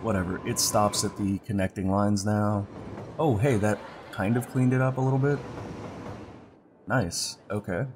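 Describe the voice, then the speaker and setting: A congested man giving casual gameplay commentary as a let's play host, relaxed and thinking aloud as he clicks. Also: on the low side, a little slow, a little hiss or hum. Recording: background music under voice.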